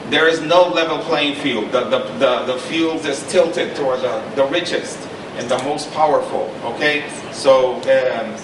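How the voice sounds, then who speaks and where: A middle-aged man from Honduras speaks at a panel in a slightly higher voice, talking earnestly, repeating himself and stopping to check that his listeners follow. He sounds far from the mic in a big echoing lecture hall. A steady noise and low rumble sit behind his voice. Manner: earnest, repeats and restarts, stresses points with "okay?". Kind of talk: panel talk with personal story. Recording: echoey hall, mic from a distance, noisy.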